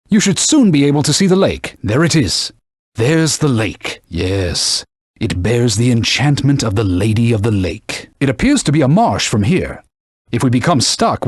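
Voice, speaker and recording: A proud, stern talking sword from a fantasy video game. His male voice is husky and extremely animated in pitch, recorded close and dry. He instructs and scolds in pompous, old-fashioned knightly language. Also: no echo. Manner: pompous, formal archaic phrasing, scolding and instructive. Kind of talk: theatrical video game voice acting.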